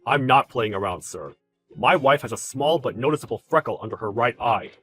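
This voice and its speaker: A calm, deferential male employee, acted by a man in a story drama. He speaks formally and with restraint, quietly firm. The voice is clear-edged and bright, with faint music behind it. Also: medium pitch, a little fast, pitched below the performer's own voice. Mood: calmly firm.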